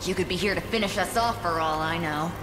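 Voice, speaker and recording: An actress playing a tough, distrustful captain of fighters in a video game, blunt and sardonic. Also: female voice, on the low side, a little fast, natural voice. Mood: scornful, suspicious. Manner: blunt, sardonic, hard-bitten. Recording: roomy echo, music behind.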